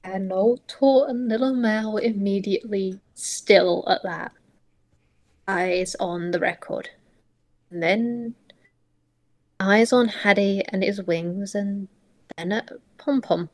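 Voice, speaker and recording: A woman narrating roleplay prose as a player, reading dramatically in halting phrases. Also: mid-range voice.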